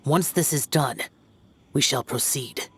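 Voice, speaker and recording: A woman's voice: rumbling low, measured pace, husky, full character voice. A woman voicing a grave young warrior spirit. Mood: stern, solemn. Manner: grave, formal, solemn. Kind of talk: video game cutscene dialogue. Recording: game soundtrack music underneath.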